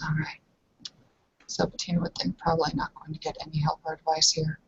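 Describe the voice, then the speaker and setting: Low-pitched female tabletop gamer. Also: little pitch movement, a little fast, creaky, lo-fi sound, noisy background. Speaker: roleplaying game player. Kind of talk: casual tabletop roleplay talk.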